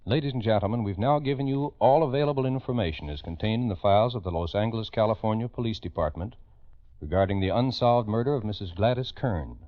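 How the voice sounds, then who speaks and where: A man's voice: lowish voice, fast, no room sound, highs cut off. A man narrating a 1940s radio crime program in a grave, emphatic, urgent manner on an old recording. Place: radio studio.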